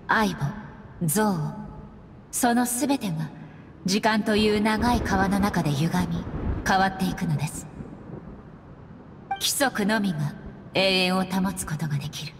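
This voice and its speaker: A woman's voice: medium pitch, background noise, natural voice. Woman acting solemn, formal warrior goddess, faint ambience. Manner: formal, measured, grave. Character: solemn, resolute warrior goddess and her stern puppet shogun. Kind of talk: Japanese anime game voice acting.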